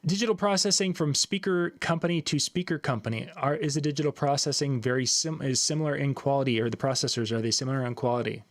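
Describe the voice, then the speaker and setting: Gravelly-voiced male show host. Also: medium pitch, steady tone, fast talker, few pauses. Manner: questioning, restarts phrases. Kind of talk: casual chat.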